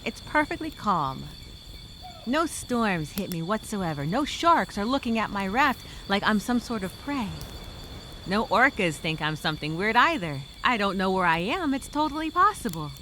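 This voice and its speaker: A woman performing a stranded castaway speaking a journal aloud. She is wry and weary, with a sarcastic streak of hope. Crickets and insects chirp clearly behind her. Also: medium pitch, very animated, moderate pace, pushed and bright, slight background noise, rough recording. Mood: sarcastic daydreaming. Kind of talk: dramatic first-person journal monologue.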